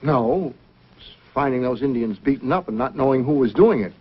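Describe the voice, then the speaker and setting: An uneasy frontier logger talks in a plain, defensive way at a medium pitch. It is old radio acting on a narrow, aged recording. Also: male voice, expressive, normal speed, husky.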